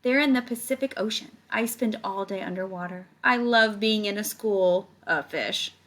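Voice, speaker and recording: A woman's voice: medium pitch, moderate pace. A woman reading a picture book aloud to children like a teacher, stretching words and adding casual explaining asides to her young listeners. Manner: animated storytelling, stretches words, chats directly to young listeners.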